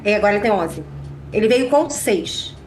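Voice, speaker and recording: A woman's voice: medium pitch, animated, moderate pace, darkish tone, slight background noise, poor audio. A woman with a Brazilian accent chatting casually as an interview guest, her voice slightly nasal and congested from a cold. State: mild cold. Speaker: podcast guest, immigration lawyer. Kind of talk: casual chat.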